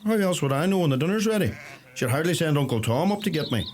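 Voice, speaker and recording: A man's voice: on the high side, animated, quick pace, background noise, natural voice. A man playing a droll, easily flustered young farmer with a Donegal Irish accent in a naturalistic radio play, birdsong around him. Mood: matter-of-fact. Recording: outdoor ambience with loud birdsong.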